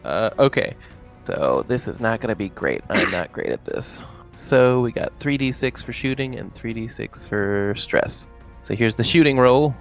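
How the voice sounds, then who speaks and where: A man's voice: medium pitch, lively intonation, a little slow, gravelly. A man playing a tabletop roleplaying game, talking casually through his actions and dice rolls. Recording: music under the voice.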